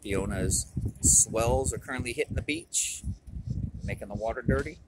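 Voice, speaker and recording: A man's voice: medium pitch, normal speed. Man on a windy fishing pier. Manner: plain, matter-of-fact, clipped phrases. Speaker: fishing report host. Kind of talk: casual fishing report while filming. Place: outdoors on an ocean fishing pier. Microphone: handheld phone camera mic. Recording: loud wind and surf noise.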